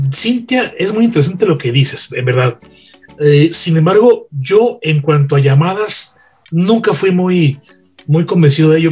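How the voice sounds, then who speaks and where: Husky man reflecting on radio. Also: fairly high pitch, a little mellow. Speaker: radio broadcaster guest. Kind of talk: casual panel chat.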